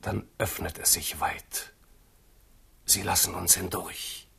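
Loyal schemer advising his king, low gravelly husky voice, insistent, radio drama. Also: flat delivery, slow, bright, forceful tone, whispered, exaggerated voice. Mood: persuasive. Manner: insistent, rhetorical. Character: urgent, scheming loyal companion of a young king. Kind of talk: 1970s radio play acting.

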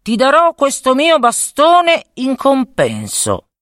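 A woman's voice: high voice, wildly swooping pitch, takes it slowly, a bit bright, no room sound, clean studio sound, voice pushed up, full character voice. A woman voicing a persuasive old beggar man in a fairy tale, coaxing and emphatic. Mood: bargaining.

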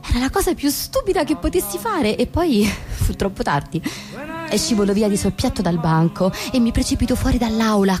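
An actress plays a self-conscious young woman in a radio play. Her mid-range voice carries an urgent, theatrical first-person monologue, anxious and emphatic. Music plays clearly behind her. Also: medium pitch, a little mellow, natural voice. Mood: panicked.

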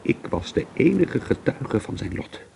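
Low, gravelly haunted man. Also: sing-song, a little slow, darkish tone, a little hiss or hum, full character voice. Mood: brooding, tense. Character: haunted male narrator, alcoholic, brooding and secretive. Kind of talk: radio drama acting with first-person narration.

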